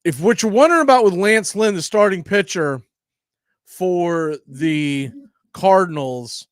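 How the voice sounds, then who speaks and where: Higher-pitched American man hosting a baseball stream. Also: animated, measured pace, smooth, no room sound, studio quality. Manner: informal, conversational, rambling. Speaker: baseball stream host and play-by-play announcer. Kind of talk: casual live pregame commentary.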